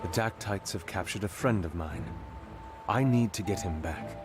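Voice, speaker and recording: An actor playing a weary, guilt-laden but resolute and loyal man in a fantasy game, speaking in a measured, earnest way. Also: low voice, close to an everyday voice. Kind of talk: dramatic fantasy game voice acting. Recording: music behind the voice.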